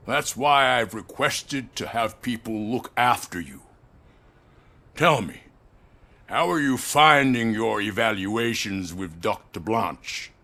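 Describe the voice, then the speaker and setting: An actor playing an old corporate chief, courteous and weary, speaking in a measured, formal way with quiet control. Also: male voice, medium pitch, heavily acted. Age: older. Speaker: company head. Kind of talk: video game dialogue acting.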